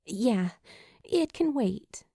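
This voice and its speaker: A woman's voice: a little high, lively intonation, extremely slow, creaky, warm tone, dry recording, clean studio sound, pitched above the performer's own voice, heavily acted. A woman voicing a caring, flustered and skeptical teenage girl in breathless audiobook dialogue. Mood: deflecting. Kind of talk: acted fan fiction audiobook dialogue.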